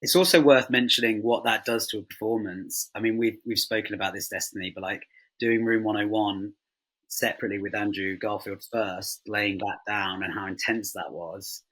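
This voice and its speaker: A man's voice: lowish voice, steady tone, normal speed, a bit bright, rough recording. A man on a creative panel, talking casually and unscripted.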